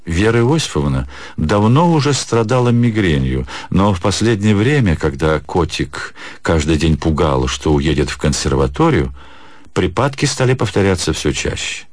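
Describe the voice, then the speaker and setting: A man's voice: deep voice, big pitch swings, normal speed, no echo. A man narrating a classic literary story as an actor in a radio production.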